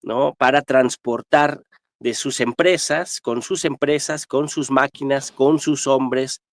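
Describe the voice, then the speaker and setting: A man with a Mexican accent and a mid-pitched voice offers spontaneous political analysis. His delivery is emphatic and militant, peppered with tag questions. The sound is very dry, with no room echo. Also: steady tone, takes it slowly. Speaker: guest historian and political analyst.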